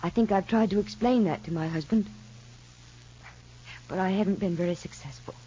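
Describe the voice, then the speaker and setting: A woman's voice: mid-range voice, moderate pace, dark tone, a little hiss or hum, close to an everyday voice. An actress playing an earnest, worried mother in a 1950s soap opera, speaking carefully and formally. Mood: hesitant, pleading. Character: worried, earnest mother, a troubled wife seeking advice. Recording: muffled vintage broadcast recording.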